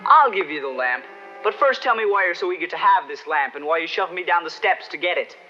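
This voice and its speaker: A man's voice: sits high, animated, a little fast, sharp tone, full character voice. A man voicing a plucky, earnest teenage street boy in a 1970s cartoon dub, exclamatory and wide-eyed. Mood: wary, defiant. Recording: dull old TV soundtrack, music behind.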